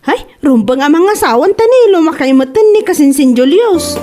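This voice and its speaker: A woman performs a nosy, talkative young female cousin in a comedy radio drama. Her voice is high, with an Ilocano Filipino accent. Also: lively intonation, fairly quick, warm tone, faint music behind. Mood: chatty, curious. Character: Veron, chatty inquisitive young female cousin.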